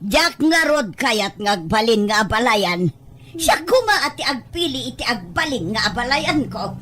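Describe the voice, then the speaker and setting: A proud, fussy middle-aged mother in an Ilocano family drama, played by a woman. She has a high-pitched voice and a grumbling, contrary way of talking, slow to give in. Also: sing-song, a bit bright, heavily acted. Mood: resentful. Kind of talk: Ilocano audio drama acting.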